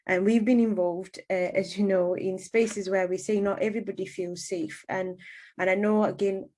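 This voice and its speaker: A woman's voice: medium pitch, steady tone, moderate pace, warm tone. A woman hosting a radio interview in a conversational way, often rephrasing her questions as she goes. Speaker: radio host.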